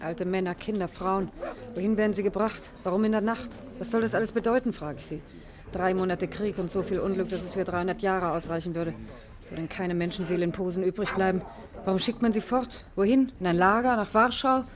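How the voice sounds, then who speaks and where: An actress playing an agitated, indignant nurse in a 1950s radio play, speaking with urgent emotion. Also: female voice, mid-range voice, fairly even intonation, brisk, a crowd in the background, lightly acted. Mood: indignant, distressed. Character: agitated, indignant female nurse shocked by deportations.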